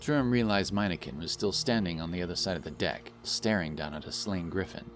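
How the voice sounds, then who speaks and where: A man's voice: deep voice, fairly quick, faint music behind. A man reading scripted fantasy fiction as a dramatic audiobook narrator.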